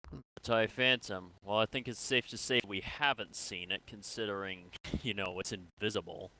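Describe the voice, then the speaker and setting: A man's voice: on the low side, steady tone, normal speed, sharp tone, low-quality recording. A man improvising a casual, conversational interrogator role in online game voice chat. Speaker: roleplayer acting as an interrogator.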